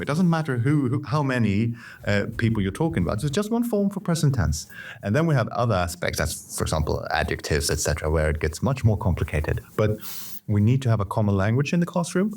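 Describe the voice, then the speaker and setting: Low-voiced man explaining language learning. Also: creaky, darkish tone, slightly rough. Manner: measured, explanatory, with restarts. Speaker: Swedish language teacher. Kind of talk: podcast conversation.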